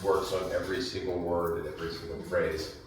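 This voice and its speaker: A man with a very low voice speaks up from the audience, far from the microphone in a heavily echoing room. He puts his question politely and a little hesitantly, in a conversational tone. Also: level tone, a little fast. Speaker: audience member asking a question. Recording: very echoey room, far from mic.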